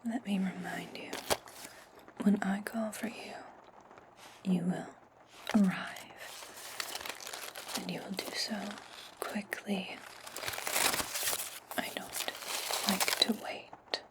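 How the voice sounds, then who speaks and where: A woman playing a stern, imperious witch in a slow, breathy whispered ASMR roleplay, speaking deliberately close to the microphone. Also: medium pitch, fairly even intonation. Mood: coldly threatening. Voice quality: breathy, mostly unvoiced whisper. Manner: deliberate, imperious, menacing, drawn-out phrasing. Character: stern, commanding witch demanding servant's obedience.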